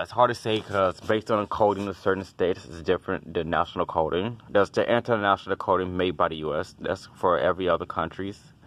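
Deep-voiced man rambling through an inspection. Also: a bit bright. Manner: rambling, offhand, dismissive asides. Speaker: house inspector and HVAC tech. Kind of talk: casual walkthrough tutorial. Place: outdoors beside a house, then a basement utility room. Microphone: handheld phone camera.

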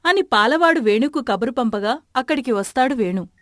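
A woman's voice: on the high side, animated, brisk, fairly bright tone, no echo, clean studio sound. A woman narrating a scripted moral story.

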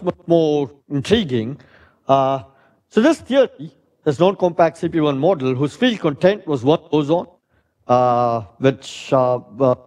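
A middle-aged man with a loud, fairly high voice lectures in a hall. He thinks aloud, dotting his talk with "uh" and "right?", and paces at the front. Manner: thinking aloud with many "uh"s and tag questions. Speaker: physics lecturer. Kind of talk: academic lecture.

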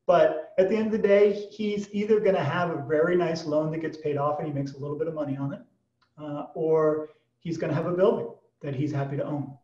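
An American man in his fifties talks as a podcast guest in a fairly high voice. He restarts often and wanders into asides. He is on a video call from a small room, echoey and slightly dull in sound. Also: fairly even intonation, brisk, mellow. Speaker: auction executive, podcast guest. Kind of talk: conversational interview answers. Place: small plain room indoors. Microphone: computer mic on video call. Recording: boxy, echoey video call, distant mic.

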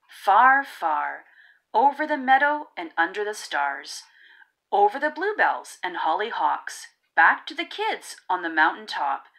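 Female children's storybook narrator, unhurried and singsong, with lively swooping pitch and theatrical emphasis. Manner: rhythmic, theatrical, drawn-out emphasis. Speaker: read-aloud narrator of children's picture books. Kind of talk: expressive storybook reading.

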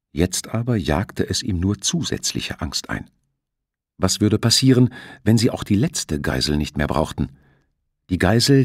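A man reads a suspense novel in a low-pitched voice. His delivery is measured, scripted storytelling with dramatic weight. The recording is dry, with hardly any room sound. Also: husky, no room sound. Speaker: audiobook narrator.

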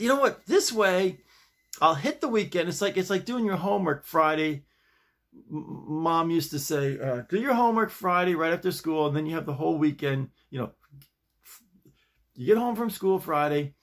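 An American man in his sixties chatting casually to camera in a halting, digressive way, often repeating his phrases. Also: fairly high pitch, expressive, a bit bright. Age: 60s. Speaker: vlogger. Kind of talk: rambling casual chat to camera. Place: small home office, indoors.

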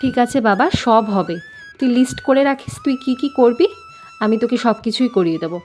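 Casting: actress as affectionate mum for a cartoon, fairly high voice, coaxing yet firm, music underneath. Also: female voice, animated, brisk, darkish tone, natural voice. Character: caring but firm Bengali mother of a schoolgirl. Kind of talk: cartoon voice acting.

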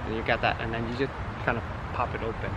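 A man's voice: mid-range voice, little pitch movement, fast. Man explaining fruit growing outdoors. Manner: plain, step-by-step explaining with fillers. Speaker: guest pomegranate grower. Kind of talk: casual explaining. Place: residential yard outdoors. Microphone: camera held by another person a few feet away. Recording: outdoor recording with traffic noise.

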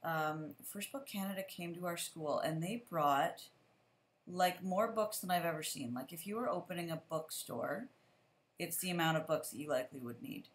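Woman, teacher host, casual chat, lower voice, echoey room, video call. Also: normal speed.

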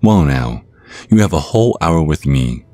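A man's voice: a bass voice, lively intonation, normal speed, mellow, no echo. A man voicing a suave, theatrical vampire stage magician, teasing and pompous, in an ASMR roleplay. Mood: smug, flirtatious.

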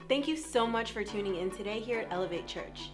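A woman's voice: medium pitch, expressive, a little fast. Upbeat woman's intro over music. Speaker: church announcer. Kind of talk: welcome intro.

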